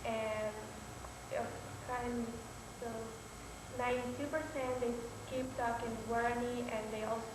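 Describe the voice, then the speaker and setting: A teenage girl from Paraguay, a native Spanish speaker, describing her country in halting speech as an exchange student. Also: a little high, steady tone. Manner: halting, searching for words. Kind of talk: short self-introduction speech. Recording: very echoey room, noisy.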